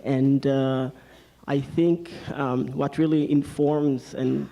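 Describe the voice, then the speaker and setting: Gravelly man. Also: mid-range voice, level tone, slow pace, highs cut off. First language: Hebrew. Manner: hesitant, many "uh"s. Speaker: Hebrew language coordinator. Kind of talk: panel introduction.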